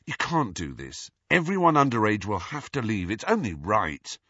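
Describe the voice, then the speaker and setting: A man voicing a gentle, sensible young older brother in an audiobook, reasoning softly. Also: lowish voice, huge pitch swings, moderate pace, husky, no room sound, lo-fi sound, exaggerated voice. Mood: gentle, firm.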